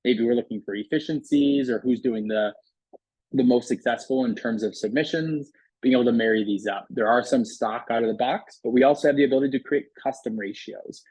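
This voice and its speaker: A man talks through a software demo in a conversational, explanatory way. His mid-pitched voice is a little husky, and the close recording is very dry. The delivery is casual sales patter. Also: fairly quick, no room sound, poor audio. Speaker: software product demonstrator. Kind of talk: casual product demo walkthrough voice-over.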